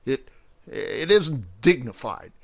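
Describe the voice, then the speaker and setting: A man's voice: mid-range voice, big pitch swings, slow pace, creaky, pitched above the performer's own voice, full character voice. Man as a huffy proud cat in a kids story, threatening, easily miffed, voice swings around. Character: proud, glaring male barnyard cat, easily offended.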